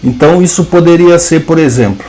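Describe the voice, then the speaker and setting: A man with a Brazilian Portuguese accent teaching a programming lesson, dictating code symbol by symbol with hesitant pauses. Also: on the high side, mellow, faint music behind, low-quality recording. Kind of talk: slow screen-cast coding lesson, dictating code aloud.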